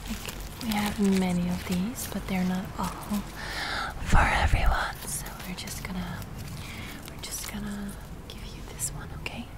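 A young woman voicing a calm, kindly witch in a soft-spoken ASMR roleplay, measured and reassuring. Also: mid-range voice, lightly acted. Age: young adult. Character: calm, kindly witch casting a sending spell. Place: outdoor garden.

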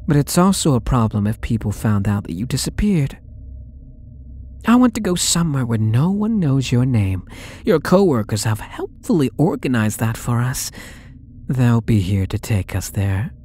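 A man's voice: mid-range voice, dark tone. A young man playing a possessive, sweetly menacing male stalker in an ASMR roleplay audio drama, with a taunting, coaxing manner. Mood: tender, possessive. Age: young adult. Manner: slow, taunting, coaxing delivery.

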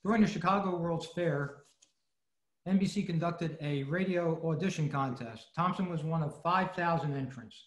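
Guy reading a scripted talk on a video call, slightly high voice, echoey room. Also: moderate pace. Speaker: old-time radio club presenter. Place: indoor room, video meeting.

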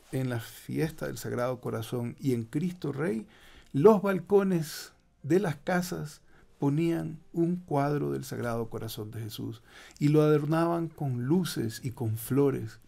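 A man's voice: a little high, measured pace, vocal fry. An older man from Ecuador, a Jesuit priest, explaining devotions in a radio studio interview with asides to the listeners. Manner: warm preaching tone, rhetorical asides to listeners. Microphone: studio microphone with headphones.

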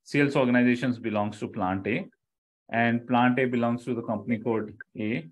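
A middle-aged man with an Indian accent gives a live online lecture. His voice sits at an average pitch. He explains as an instructor does, repeating key phrases and often checking that listeners follow. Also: fairly even intonation, normal speed.

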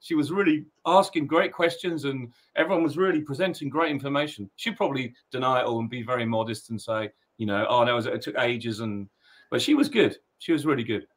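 A man's voice: medium pitch, fairly quick. A young man from the UK joining a casual live chat as a guest over a video call, upbeat, chatty and self-mocking. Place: indoors at home.

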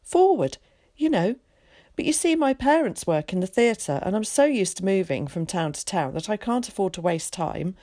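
A woman's voice: mid-range voice, lively intonation, no echo, studio quality, lightly acted. Woman voicing a chatty eager schoolboy. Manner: quick, bright, well-spoken chatter.

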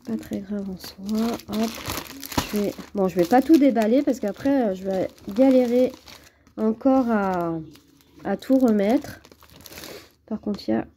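A woman with a head cold talks slowly and hesitantly, with many fillers, as she unboxes a craft kit at her table. Her voice is very dark and mellow. A faint hiss of noise sits under the recording. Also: mid-range voice. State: has a cold. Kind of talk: casual unboxing chat to camera. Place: at home, at a table.

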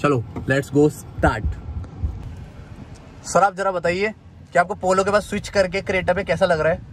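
A man's voice: high-pitched, measured pace. A man in his twenties from India chatting casually as a car vlogger, recorded around a car on the road. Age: 20s. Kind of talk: casual vlog chat. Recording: clearly noisy car and roadside sound. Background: car and road noise.

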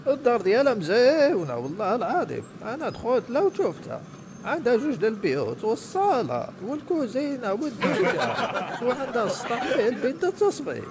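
A man's voice: extremely high pitch, background noise, full character voice. A man voicing a talkative, persuasive grey-haired house broker in a comedy cartoon, with a northern Moroccan accent. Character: chatty grey-haired male neighbourhood house broker, ex-convict.

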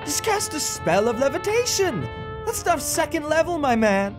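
A woman's voice: sits high, very animated, moderate pace, over music. A woman voicing a flippant male elf wizard in a comic dub, breezy and sarcastic. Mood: mocking. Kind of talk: comic dub character acting.

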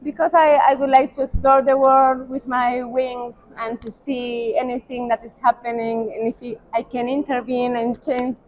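A woman's voice: on the high side, level tone, moderate pace, few pauses. A middle-aged woman, not a native English speaker, chatting casually as an interview guest and searching for words. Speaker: interview guest, medical doctor. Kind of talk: casual chat. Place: large indoor hotel hallway and walkway, while walking. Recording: dull sound with clearly noisy ambience.

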